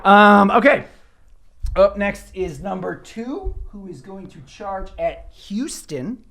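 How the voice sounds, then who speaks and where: A man runs a tabletop role-playing game as dungeon master. His delivery is casual and lively, swinging widely in pitch as he narrates attacks and jokes with the table, cursing freely. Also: takes it slowly, vocal fry.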